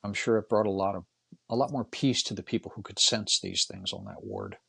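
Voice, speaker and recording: Gravelly older man, low and husky. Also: wildly swooping pitch, fairly quick, fairly bright tone, no room sound. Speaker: guest. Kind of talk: casual storytelling in conversation.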